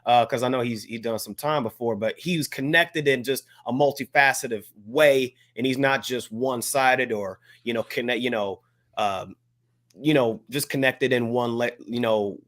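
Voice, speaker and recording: A man chatting casually as a podcast co-host in a slangy, halting way, circling around his phrases. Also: mid-range voice, fairly bright tone. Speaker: hip-hop podcast co-host. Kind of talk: casual chat.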